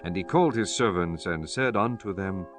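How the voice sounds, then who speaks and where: A man narrates a dramatized scripture audiobook over a music bed. His voice sits low and moves expressively through the verses. The delivery is solemn and measured, like formal storytelling. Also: animated.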